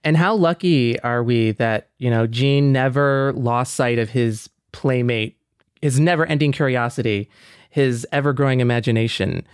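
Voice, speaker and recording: A man with a mid-pitched voice speaks as a podcast co-host in casual discussion. The recording is dry and close, with no room sound to speak of. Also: moderate pace, clean tone, no room sound.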